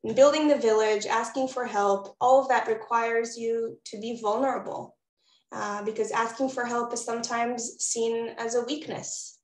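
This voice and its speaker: A woman's voice: fairly high pitch, fairly even intonation, normal speed, roomy sound, low-quality recording. A woman from Israel, a postpartum doula, giving a webinar presentation in an encouraging way that speaks straight to the audience over a video call. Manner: warm, encouraging, addresses audience directly.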